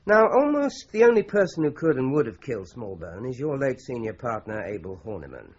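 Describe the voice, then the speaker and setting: An actor playing a courteous, methodical Scotland Yard inspector in a British radio crime drama, speaking in a polite, measured, probing way. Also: male voice, medium pitch, big pitch swings, a little mellow, natural voice. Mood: calm, purposeful. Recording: muffled radio drama recording, faint noise.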